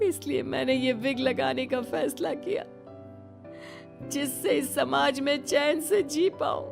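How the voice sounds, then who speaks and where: A woman's voice: sits high, gravelly, voice pushed up, exaggerated voice. A woman voicing an older mother-in-law in a family melodrama, dramatic, plaintive and ashamed. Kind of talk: melodramatic Hindi family story acting.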